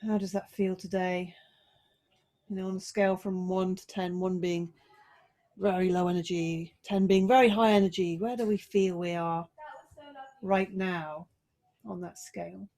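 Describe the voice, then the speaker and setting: A woman yoga teacher guiding a relaxation and body check-in, giving slow, gently coaxing instructions with pauses between phrases. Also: lowish voice, fairly even intonation.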